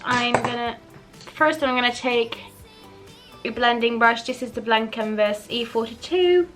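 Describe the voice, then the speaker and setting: A young woman with a slightly croaky voice after the flu, chatting casually through a makeup tutorial and often correcting herself. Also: a little high, a bit bright. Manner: chatty, self-correcting, giggly asides. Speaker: beauty vlogger.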